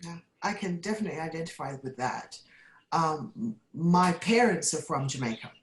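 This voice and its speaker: A woman's voice: deep voice, animated, normal speed. A woman from the UK tells candid personal stories as a panelist. Her voice carries a noticeable room echo. She is heard over a video call. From United Kingdom. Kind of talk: panel discussion. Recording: roomy echo.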